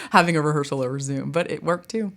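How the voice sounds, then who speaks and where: A woman's voice: deep voice, lively intonation, fairly quick. A woman talking casually and enthusiastically as an interview guest, in a chatty way. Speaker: guest, college theatre director.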